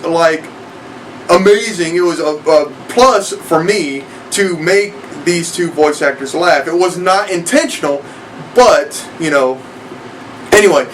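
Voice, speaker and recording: A young man giving a casual vlog introduction in a hesitant, rambling manner. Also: sits high. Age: young adult. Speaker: online reviewer and aspiring voice actor. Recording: distant mic with background noise.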